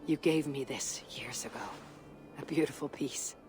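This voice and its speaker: A woman playing a weary, proud Viking warrior in a video game, speaking in a husky, measured, dry way. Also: very deep voice. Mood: guarded, solemn. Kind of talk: dramatic video game acting. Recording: music under the voice.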